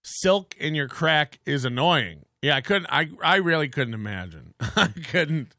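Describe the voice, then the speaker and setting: Bright-voiced crude male podcast host. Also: a little high, expressive, a little slow, no echo, clean studio sound. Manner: crude, joking, meandering with frequent restarts. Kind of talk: casual rambling chat.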